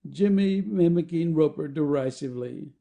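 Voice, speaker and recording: A man's voice: a little high, moderate pace, gravelly, mellow. A man reading stage directions as the narrator of a scripted play.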